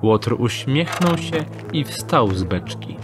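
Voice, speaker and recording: A man's voice: medium pitch, slow pace. Male audio drama narrator over music. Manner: steady descriptive storytelling.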